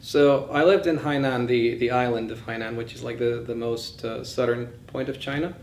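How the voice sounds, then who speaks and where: A man's voice: mid-range voice, steady tone, normal speed. Male lecturer, mid-range voice, conversational, opinionated, thinking aloud, echoey room with faint noise. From born in Bulgaria, grew up in several countries. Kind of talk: informal lecture with discussion. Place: indoors in a session room.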